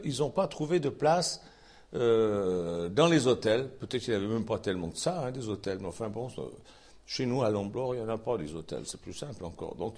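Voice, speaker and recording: Lively husky Swiss French preacher man. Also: medium pitch. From Switzerland. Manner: folksy, chatty asides, lively rising emphasis. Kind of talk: informal Bible sermon.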